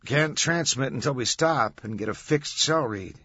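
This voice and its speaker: A wry, no-nonsense camerawoman, voiced by a male narrator, teasing and blunt. The pitch sits well above his own, with a sharp, husky tone and a dry, dull recording. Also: animated, normal speed, no echo. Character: blunt, wry adult female camera operator.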